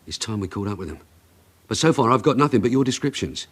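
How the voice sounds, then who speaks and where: An actor playing a dogged, brisk police detective leading an inquiry in a radio drama. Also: male voice, lowish voice, animated, husky. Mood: probing, frustrated.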